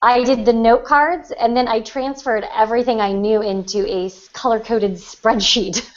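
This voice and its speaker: A woman's voice: a little high, fairly even intonation, normal speed, muffled recording. A woman chatting casually on a podcast as a writing teacher, thinking aloud as she explains. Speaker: writer, writing teacher and magazine editor. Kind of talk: casual chat.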